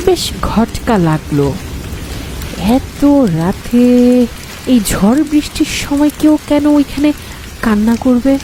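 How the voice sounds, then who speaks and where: Casting: female horror storyteller, theatrical and suspenseful, unhurried pace with lively swings in pitch. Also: slight background noise, low-quality recording. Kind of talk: dramatic first-person horror story narration.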